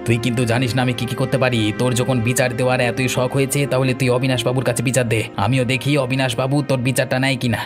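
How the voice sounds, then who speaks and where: A man plays a cocky village bully in a cartoon. He talks very fast in an average-pitched voice, taunting and threatening, with faint music underneath. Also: little pitch movement, natural voice. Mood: mocking, menacing. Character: arrogant, mocking village bully, adult man. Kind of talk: Bengali cartoon voice acting.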